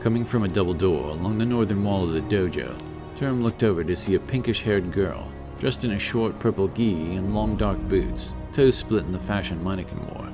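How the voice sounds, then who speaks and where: A man's voice: sits low, normal speed, over music. A man giving scripted narration for a fantasy audiobook, in the role of storyteller.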